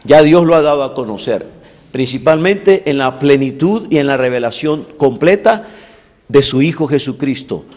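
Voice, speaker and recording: A man giving a preaching-style lecture on evangelism theology, speaking deliberately and building long lists of points with emphasis. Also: medium pitch, lively intonation, a little mellow. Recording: long reverb in a large hall.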